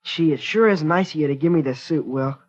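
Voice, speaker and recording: A man's voice: fairly high pitch, fairly quick, natural voice. A man playing an eager, polite teenage boy. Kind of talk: 1940s radio acting. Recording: dull old radio broadcast.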